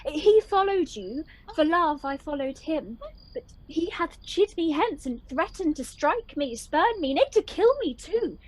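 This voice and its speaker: A woman's voice: high voice, lively intonation, unhurried, poor audio. A woman playing a timid, lovelorn young woman, pleading and melodramatic in an amateur Shakespeare radio play. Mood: wounded, lovelorn.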